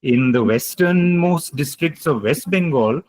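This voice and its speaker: A man's voice: fairly high pitch, expressive, measured pace, darkish tone, dry recording. A man giving an online lecture, explaining points emphatically, repeating key ideas and taking questions. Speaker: guest lecturer.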